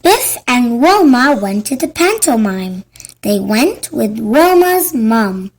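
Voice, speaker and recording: A woman narrating a children's storybook in a simple, deliberate read-aloud style. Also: fairly high pitch, sing-song.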